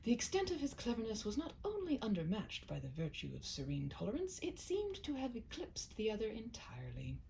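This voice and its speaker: Expressive, low-pitched woman with creaky voice. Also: brisk, few pauses, slight background noise, poor audio. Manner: hesitant, self-conscious, chatty. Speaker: storyteller, host reading own short stories. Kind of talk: cozy roleplay chat to listener, then story read-aloud.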